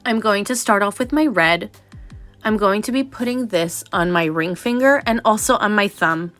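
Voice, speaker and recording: A woman's voice: mid-range voice, moderate pace, a bit bright, soft background music. A young adult woman narrating a nail tutorial voice-over in a chatty, explanatory way, sounding slightly nasal from a cold.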